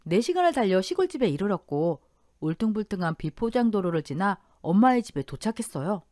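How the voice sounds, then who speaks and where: A woman's voice: mid-range voice, sing-song, fairly quick, warm tone, no room sound, clean studio sound. A woman reading a scripted first-person life story as a radio drama narrator.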